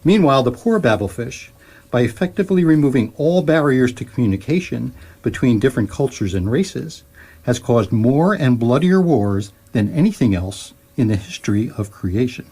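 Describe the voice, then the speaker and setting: A man performs the voice of an electronic guidebook in a sci-fi radio comedy. The mid-pitched voice is husky and very varied in melody, explaining absurd things with wry, learned amusement. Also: animated, normal speed, mellow. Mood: dry, amused. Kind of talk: scripted comic sci-fi narration.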